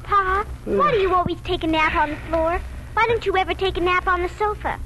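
Earnest, inquisitive farm child, girl, very high voice, 1940s radio acting, noisy old recording. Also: brisk. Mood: earnest, loving. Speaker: radio drama actor.

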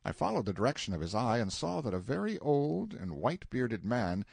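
A man reading a classic novel as an audiobook narrator, in an expressive, scripted literary style. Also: medium pitch, fairly quick, vocal fry, breathy edge, no echo, studio quality.

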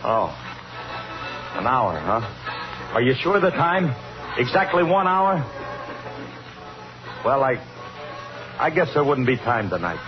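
An actor playing a cornered, fatalistic dance musician in a 1950s radio suspense drama, stammering and pleading in slangy talk. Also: male voice, medium pitch, big pitch swings, slow pace, pauses often. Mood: anxious. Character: cornered, bitter male dance musician fearing a lynch mob. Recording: muffled old radio recording with music.

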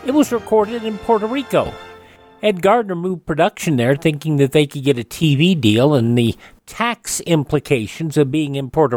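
A man's voice: on the high side, a little mellow. A man hosting a radio program, introducing it in a conversational way. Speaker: radio show host.

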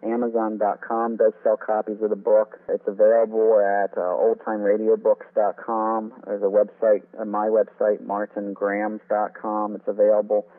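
Wanted: male interview guest, deeper voice, explanatory author's manner, thin phone-quality sound. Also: completely flat delivery, moderate pace, hardly any pauses. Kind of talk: informative interview talk. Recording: tinny phone-like line.

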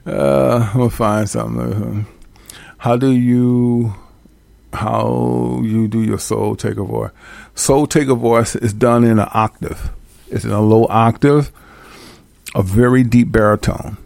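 A man's voice: lowish voice, creaky, a little mellow, no echo. A man hosting a radio show, chatting casually about making a song, playful and loose, mimicking drum beats and swearing freely. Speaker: radio host and music producer.

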